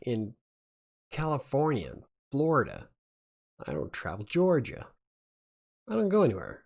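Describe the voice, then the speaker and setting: A man's voice: mid-range voice, gravelly, a little mellow. A man hosting a casual live chat, rambling and chuckling as he talks. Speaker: livestream host.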